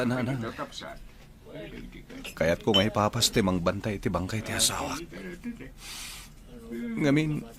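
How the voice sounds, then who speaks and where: A man playing an anguished young husband who has lost his wife, pleading melodramatically with an Ilocano Filipino accent in a radio drama. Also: fairly high pitch. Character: anguished grown son, newly bereaved husband.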